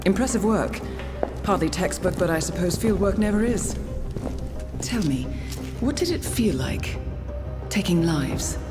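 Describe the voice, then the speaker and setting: A woman playing a composed, confident young British spy handler in a video game, speaking formally and with measured poise. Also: on the low side, animated, over music. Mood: cool, probing. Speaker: mission handler and training guide. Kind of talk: video game cutscene acting and mission briefing. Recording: background score under the voice.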